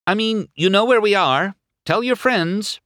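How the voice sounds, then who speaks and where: A man's voice: sits high, animated, unhurried, a bit bright, no echo, clean studio sound, pitched above the performer's own voice. A man voicing an eager, chirpy female cartoon car in a children's storybook, helpful and welcoming. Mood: hopeful, calling out.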